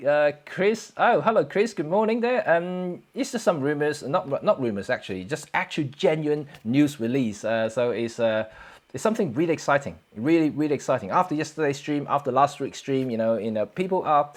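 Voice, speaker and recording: A middle-aged man hosting a casual livestream, greeting viewers by name in a chatty, halting way full of fillers and frequent chuckles. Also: on the high side, animated. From Hong Kong. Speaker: photography livestream host. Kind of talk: casual livestream chat reading viewer comments.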